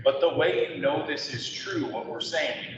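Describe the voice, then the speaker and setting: A man leading a neighborhood meeting, talking loudly and emphatically to the room. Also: fairly high pitch, normal speed. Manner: loud voice, conversational and emphatic. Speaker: meeting moderator. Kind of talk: public meeting presentation. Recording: echoey hall, far from mic, dull, crowd noise.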